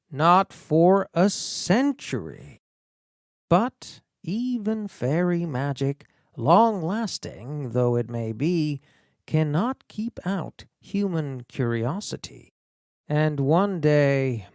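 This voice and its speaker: A man reading a fairy tale as an audiobook narrator, in a theatrical, deliberate storytelling manner with dramatic pauses. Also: on the high side, gravelly, darkish tone, dry recording, clean studio sound.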